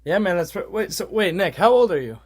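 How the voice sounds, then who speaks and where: A man's voice: high-pitched, expressive, fairly quick. A young man around twenty, hosting a podcast and chatting eagerly and loosely. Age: young adult. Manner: eager, loose talk with lots of "man" and "dude". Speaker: podcast host. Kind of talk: casual podcast conversation.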